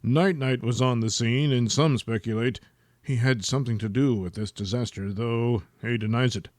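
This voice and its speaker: A man voicing a stiff, solemn TV news anchor in a formal, deadpan manner for a comic audio drama. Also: medium pitch, moderate pace, no room sound, clean studio sound, pitched below the performer's own voice, close to an everyday voice.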